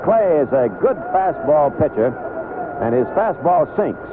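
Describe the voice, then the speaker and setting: A man announcing baseball play-by-play on the radio in a conversational way, with clipped phrases between pauses. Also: fairly high pitch, big pitch swings. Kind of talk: live play-by-play commentary. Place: broadcast booth at a baseball stadium. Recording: noisy lo-fi 1970s AM radio broadcast.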